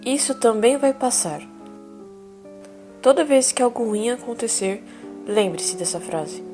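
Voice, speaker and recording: A woman acting a calm therapist in an audio drama, asking gentle probing questions over music. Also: fairly high pitch, measured pace.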